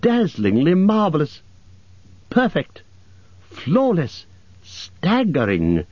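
A man voicing a small, timid but clever male hobbit who talks in a high, flattering squeak. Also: unhurried, slightly rough, no echo, heavily acted. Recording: dull lo-fi recording.

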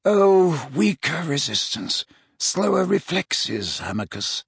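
A man puts on the voice of a gentle old wizard headmaster, unhurried and politely wry even when cornered. The tone sits a little higher than the reader's own, with a husky edge and a dry, close sound. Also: no room sound, exaggerated voice. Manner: quiet, measured, courteous. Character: aged calm wizard headmaster, gentle and dignified.

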